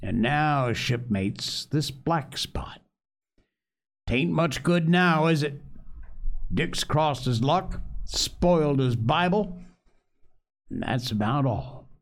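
A man's voice: a little high, animated, slow, vocal fry, pauses often, full character voice. A man voicing a cunning, domineering pirate sea cook in a storybook reading, taunting and blustering in salty dialect. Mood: mocking, triumphant.

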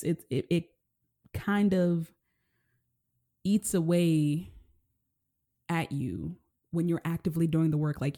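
Woman from Kenya as interview host; mellow, gentle, rambling casual talk. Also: deep voice. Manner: gentle, rambling, frequent "like" and "you know". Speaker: podcast host.

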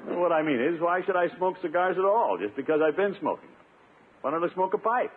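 An actor playing a blustery, self-satisfied middle-aged bachelor official in 1950s radio comedy, pompous and emphatic. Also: male voice, high voice, lively intonation, brisk, breathy edge, a faint crowd. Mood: declaiming. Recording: muffled, scratchy 1950s radio broadcast.

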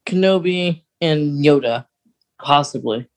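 A podcast co-host with a medium-pitched voice chats in an informal way. The delivery is conversational and speculative, as if thinking aloud about lore. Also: slow pace. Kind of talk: casual chat about Star Wars lore.

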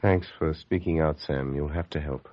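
An actor playing a calm, determined crime-fighting hero man who speaks in a measured, authoritative way in an old radio drama. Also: a bass voice. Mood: calm, resolute. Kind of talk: 1930s radio acting. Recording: dull old radio with faint hiss.

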